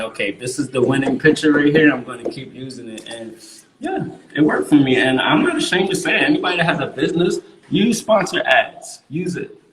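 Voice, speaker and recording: A man's voice: mid-range voice, fairly bright tone. Emphatic New man in a roomy space. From Queens, New York. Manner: emphatic, repeats himself, slangy. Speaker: guest artist. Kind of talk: casual interview chat.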